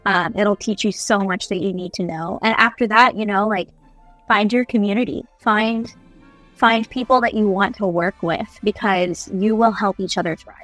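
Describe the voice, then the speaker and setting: A woman's voice: medium pitch, fairly even intonation, normal speed, a bit bright, rough recording. Young woman, interview guest, chatty and enthusiastic, casual delivery over background music. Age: young adult. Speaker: guest voice actor. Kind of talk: casual video interview, giving advice. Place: small indoor room, on a video call.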